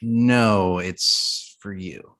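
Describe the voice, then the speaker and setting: A woman playing an awkward, earnest young comedy writer, tentative and deadpan, in a comedy table read. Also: very deep voice, extremely slow, heavily acted. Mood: tentative pitching.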